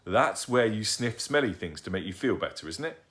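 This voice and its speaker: A man's voice: lowish voice, expressive, moderate pace, a bit bright. A man voicing a cheeky, joking father who plays innocent in an audiobook. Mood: mock innocent. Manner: teasing, mock-innocent.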